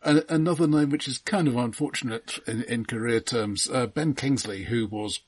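Husky man in casual podcast chat. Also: medium pitch, a little mellow, dry recording. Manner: halting, repeats opening words. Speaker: podcast co-host. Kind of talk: casual film discussion.

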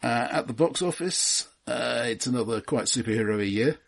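A man with a husky voice co-hosting a film discussion podcast, listing results and giving dry, understated opinions. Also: mid-range voice, animated, normal speed. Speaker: podcast co-host. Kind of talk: film discussion, reading out awards and box office lists.

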